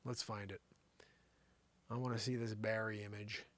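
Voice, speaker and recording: A man's voice: fairly low pitch, little pitch movement, normal speed, fairly bright tone. A man hosting a live show with casual, slangy, rambling comedic commentary, often thinking aloud. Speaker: live variety show host.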